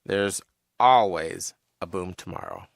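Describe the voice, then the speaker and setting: A man's voice: sits low, takes it slowly, vocal fry, no echo, studio quality. A man co-hosting a fan podcast, chatting casually and explaining things carefully. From Mexican-American family. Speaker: podcast co-host. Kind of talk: casual chat.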